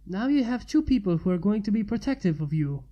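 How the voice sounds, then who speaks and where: A woman's voice: medium pitch, animated, fairly quick, warm tone, lo-fi sound, lightly acted. A woman voicing a tender, teasing, easygoing man in a story reading.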